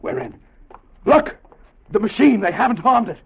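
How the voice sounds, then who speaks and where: An actor playing a bold, decisive scientist adventurer with a husky voice and urgent, clipped delivery in a 1950s radio drama. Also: male voice, extremely high pitch, expressive, moderate pace, exaggerated voice. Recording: muffled vintage radio recording.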